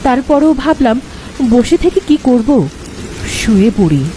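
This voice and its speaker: A woman's voice: high voice, big pitch swings, measured pace, mellow, a little hiss or hum, low-quality recording. A woman narrating a first-person horror story in a dramatic, suspenseful way.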